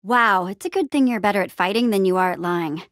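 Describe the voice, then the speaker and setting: A woman playing a plucky, bossy but encouraging young adventurer heroine in a video game, with an expressive, casual, peppy delivery. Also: mid-range voice, a little fast, dry recording, studio quality, lightly acted. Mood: exasperated, pushing. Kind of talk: animated adventure game dialogue.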